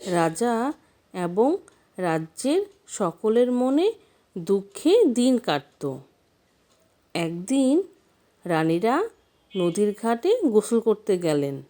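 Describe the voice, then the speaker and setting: A woman narrating a scripted fairy tale in an expressive storybook manner, with deliberate pauses. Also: mid-range voice.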